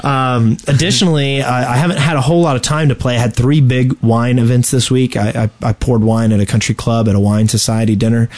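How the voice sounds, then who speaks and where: A man hosts a gaming podcast with a mid-pitched voice and a loose, rambling delivery. He talks casually and jokes as he goes, like someone telling stories among friends. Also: steady tone, brisk, few pauses, darkish tone. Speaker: podcast host.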